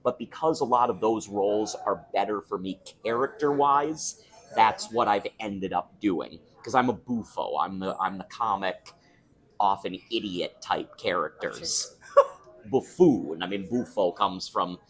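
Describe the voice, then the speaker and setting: A man's voice: on the low side, unhurried. A young man who sings opera, chatting thoughtfully in an interview at a restaurant. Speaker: opera singer, guest. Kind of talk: casual interview chat.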